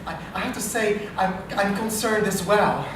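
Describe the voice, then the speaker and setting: A man with a French accent, an AI researcher hosting a live improv comedy show, talking in a dry, self-deprecating way with halting restarts. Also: high voice, background noise. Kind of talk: live comedy stage talk. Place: live comedy meetup venue. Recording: distant, very echoey live venue.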